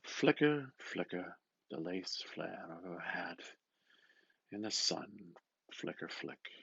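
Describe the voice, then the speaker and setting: A man reading a novel aloud with expressive, dramatic narration, musing and often dropping into a hushed murmur. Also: low voice, takes it slowly, vocal fry, muffled recording, a little hiss or hum. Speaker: reader. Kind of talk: expressive literary audiobook narration.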